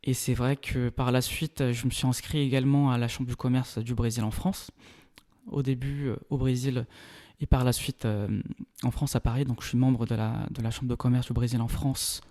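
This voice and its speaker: Mellow-voiced man in French. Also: mid-range voice, little pitch movement. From Brazil. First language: Portuguese. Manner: measured, explanatory, searching for words. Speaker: lawyer and interview guest. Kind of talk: casual interview talk.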